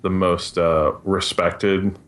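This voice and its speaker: A man's voice: sits low, little pitch movement, slow pace, a bit bright. A man chatting casually on a podcast panel, hesitant and often trailing off mid-thought. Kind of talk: casual panel chat about films.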